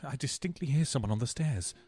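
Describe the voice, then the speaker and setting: A melodramatic philanderer in a radio play, acted by a man. He is self-deprecating and hesitant, speaking in a medium-pitched voice as he mocks his own weakness. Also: expressive, fairly quick, darkish tone, slightly rough, soft background music. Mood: flustered. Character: rueful, self-mocking weak-willed husband, melodramatic philanderer.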